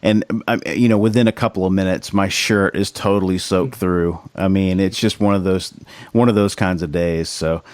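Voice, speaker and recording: A man's voice: deep voice, steady tone, normal speed, no room sound. An American man co-hosting a podcast, bantering casually in an emphatic, slangy way with some swearing. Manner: informal, profane, emphatic, says "man" and "dude" often. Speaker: podcast co-host.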